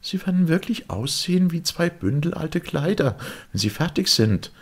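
A man voicing a young, gentle and polite storybook girl with a wondering manner. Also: fairly high pitch, very animated, normal speed, slightly rough, voice pushed up. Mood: gentle, curious.

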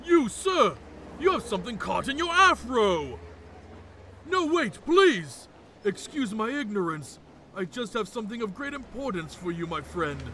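High, bright, eccentric old gentleman. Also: sing-song, a little slow, slight background noise, heavily acted. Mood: pleading. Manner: florid, over-dramatic, exclamatory. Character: eccentric, excitable old gentleman, flowery and scheming. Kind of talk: comedy cartoon voice acting.

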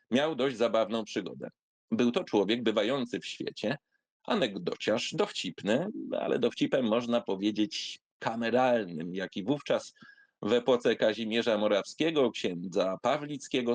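A man's voice: medium pitch, no room sound. Dry-sounding studio read by a male storyteller. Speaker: audiobook reader. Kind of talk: expressive literary memoir reading.

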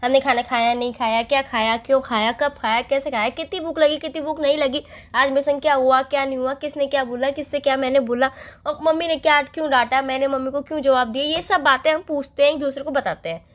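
High-pitched young woman, stubbornly chatting. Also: steady tone, quick pace, hardly any pauses. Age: young adult. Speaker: girlfriend on a phone call. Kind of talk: casual couple chat.

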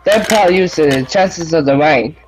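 A man's voice: a little high, normal speed. A man chatting casually in offhand remarks. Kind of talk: casual chat. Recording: dull lo-fi sound.

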